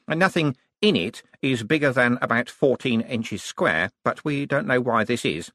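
A man's voice: mid-range voice, expressive, moderate pace, fairly bright tone, pitched above the performer's own voice. A man voicing a friendly, flustered young wizard scholar in an audiobook, modest but bookish, hesitating and stammering with many ums. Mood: hesitant.

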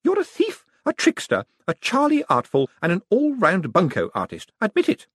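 A man's voice: high voice, huge pitch swings, normal speed, a bit bright, breathy edge, no room sound, clean studio sound, pitched above the performer's own voice, full character voice. A man doing a feisty, cackling old lady. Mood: triumphant, snappish. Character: feisty sharp-tongued old woman, gleeful and blunt.

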